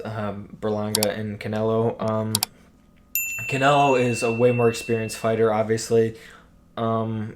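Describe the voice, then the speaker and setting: A young man casually giving his boxing fight prediction, rambling with many fillers while his voice keeps cracking. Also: fairly low pitch. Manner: rambling, many ums and you knows. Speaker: boxing commentator. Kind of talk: casual solo prediction talk.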